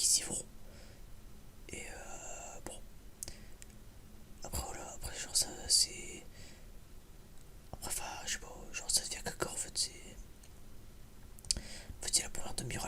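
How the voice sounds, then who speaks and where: A man's voice: very high voice, pushed and bright. A man whispers a casual ASMR film review in a hesitant, rambling, informal way. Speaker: video creator giving a film review.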